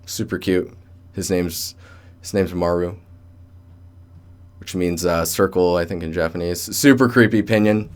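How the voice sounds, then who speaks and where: The low-pitched young guy you'd hear late on an art livestream, joking with chat and rambling through feedback. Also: flat delivery, a little slow, long pauses, darkish tone, clear, resonant tone. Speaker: livestream host, digital artist. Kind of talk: casual livestream chat and portfolio critique. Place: indoor room with door closed.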